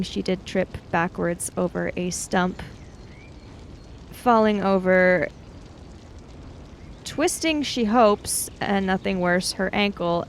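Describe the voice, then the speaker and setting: A woman narrating her character's actions in a tabletop roleplaying game, in measured short phrases. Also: medium pitch, slight background noise. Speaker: tabletop RPG player.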